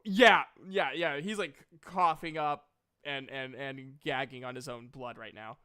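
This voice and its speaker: Bright-voiced man running a tabletop game. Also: mid-range voice, steady tone, unhurried, clean tone. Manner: jokey, profane. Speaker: game master. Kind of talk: casual improvised tabletop game narration.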